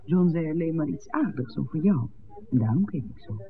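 A woman playing a lively, playful barmaid in an old radio drama, on a thin recording. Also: sits low, brisk, narrow, tinny audio. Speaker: radio play actor. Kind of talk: 1960s radio drama acting.

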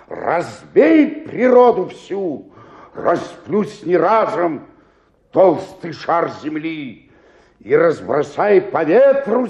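An actor playing an older, self-pitying tragic stage actor in a radio play, declaiming theatrically and swinging from murmur to roar. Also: male voice, fairly high pitch, a little mellow, full character voice. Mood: grandiose, raging.

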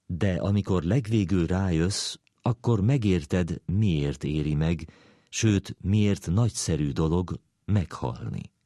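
A man reading a wry literary essay aloud in a measured, deliberate way. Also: sits low, dark tone, dry recording, clean studio sound. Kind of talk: dramatic reading of a humorous philosophical essay.

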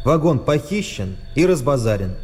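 A man's voice: medium pitch, big pitch swings, moderate pace, a little mellow, lightly acted. An actor in a Russian radio play playing a stern, officious, by-the-book chairman of a military tribunal. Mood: defensive, formal. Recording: long hall reverb, faint music.

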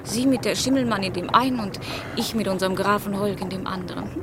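An actress playing a witty, sharp-tongued lady-in-waiting, haughty, ironic and articulate, in a German period radio play. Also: mid-range voice, fast, background noise, close to an everyday voice. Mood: gracious, wry. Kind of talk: German radio drama acting, period piece.

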